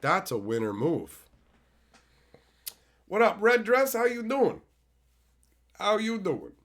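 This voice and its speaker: Hoarse man chatting on stream. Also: sits high, big pitch swings, slow pace, a bit bright. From Canada. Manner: loose, swears freely, answers chat by name. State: hoarse from being sick. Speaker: live streamer reading chat. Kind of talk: casual live-stream chat.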